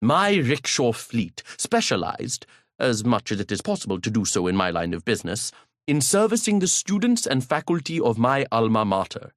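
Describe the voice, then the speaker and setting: A man's voice: medium pitch, very animated, moderate pace, dry recording, clean studio sound. A man narrating an audiobook in the first person, pompous and grandiloquent, with an occasional stutter.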